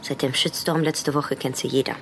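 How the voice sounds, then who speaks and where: A woman's voice: very deep voice, steady tone, normal speed, creaky, slight background noise. A woman playing a sceptical, challenging violinist in a radio drama, with pointed, direct questions.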